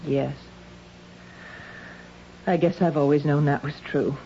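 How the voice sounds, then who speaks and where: Nervous hesitant married woman in an old radio drama, soft dark voice, muffled lofi sound. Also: deep voice, fairly even intonation, a little hiss or hum. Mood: subdued. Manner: hesitant, halting. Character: anxious, troubled married woman hiding her compulsive stealing. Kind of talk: 1970s radio drama acting. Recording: dull lo-fi old radio recording.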